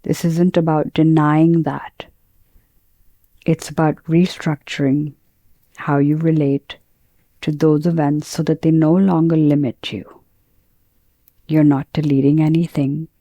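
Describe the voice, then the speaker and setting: A woman teaching guided meditation, speaking in a calm, gentle, measured way with pauses between phrases. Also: low-pitched, no echo.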